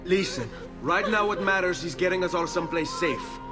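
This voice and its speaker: A man's voice: high voice, moderate pace, over music, close to an everyday voice. An actor playing an earnest, protective young Italian nobleman hero in a video game drama. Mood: grave, gentle.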